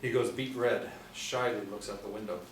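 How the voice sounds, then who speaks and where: Low-voiced male narrator, very echoey room. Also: steady tone, moderate pace. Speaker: stage-direction reader. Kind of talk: scripted narration. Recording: very echoey room, distant mic, faint noise.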